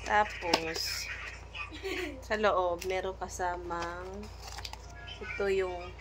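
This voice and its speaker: A woman's voice: fairly low pitch, fairly even intonation, drawn-out words, over music. A Filipino woman chatting casually in an unboxing vlog, thinking aloud and mixing in English words. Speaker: vlogger.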